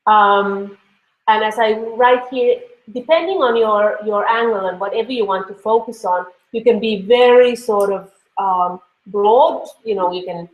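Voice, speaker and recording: A woman's voice: mid-range voice, a little slow, phone-quality sound. A woman with a Finnish accent giving an online course lecture in a loose, conversational way, with many fillers and restarts. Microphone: computer mic on a video call. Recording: echoey room.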